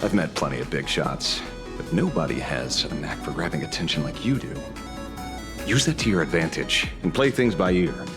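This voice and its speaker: A man's voice: a bass voice, expressive, normal speed. An actor voicing a sly, confident young man in a video game, talking in playful, drawling banter. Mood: encouraging. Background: game music.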